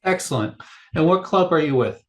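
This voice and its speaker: Fairly high-voiced guy doing interview intros, short and polite. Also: animated, moderate pace. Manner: brief, polite, formal. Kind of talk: short formal interview questions.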